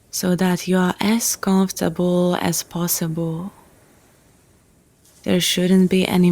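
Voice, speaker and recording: A woman guiding a relaxation meditation in a calm, gentle, soothing manner, leaving long pauses between phrases. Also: fairly low pitch. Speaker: yoga nidra guide.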